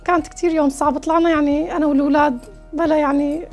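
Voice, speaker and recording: A Syrian woman interviewed in a TV report, careful and slow in English, her first language Arabic. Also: high-pitched, level tone. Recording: TV report with music underneath.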